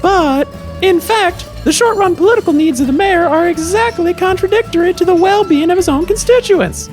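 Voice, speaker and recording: A woman's voice: very high-pitched, normal speed, darkish tone, faint music behind. A woman playing a precocious, earnest boy who speaks in wordy, formal, pompous phrases in a radio drama.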